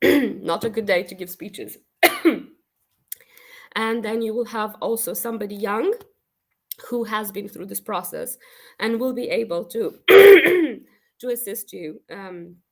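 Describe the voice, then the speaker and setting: Hoarse woman with a cold. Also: mid-range voice, expressive. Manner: earnest, encouraging, hesitant with pauses. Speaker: consultant and career counselor. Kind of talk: virtual open evening presentation. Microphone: video call.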